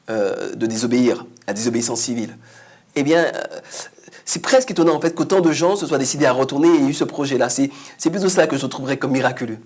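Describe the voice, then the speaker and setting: A man explains ideas at a Bible study discussion. He speaks in a measured, explanatory way. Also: mid-range voice, darkish tone, husky. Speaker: discussion participant.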